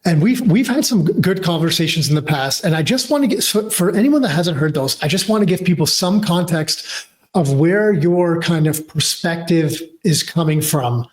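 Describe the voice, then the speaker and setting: A man hosting a podcast with a slightly higher voice than most men. He speaks in a friendly, loose way and rambles a little as he sets up the conversation. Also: animated, moderate pace. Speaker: podcast host. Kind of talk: casual interview talk.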